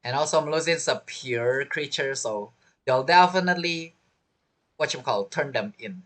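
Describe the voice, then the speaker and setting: A man's voice: mid-range voice, animated, normal speed, a bit bright. A man giving casual, playful reaction commentary on a video game story, chiming in informally.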